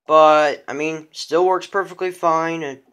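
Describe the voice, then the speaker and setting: A man's voice: fairly high pitch, fairly even intonation, unhurried, fairly bright tone, slight background noise. A man giving a casual, unscripted show-and-tell of a hobby collection, rambling and repeating himself.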